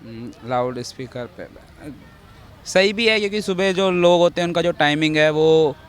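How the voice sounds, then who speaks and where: A man giving a measured opinion in an outdoor street interview, mixing in English words. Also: on the high side, clean tone. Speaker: member of the public giving opinion.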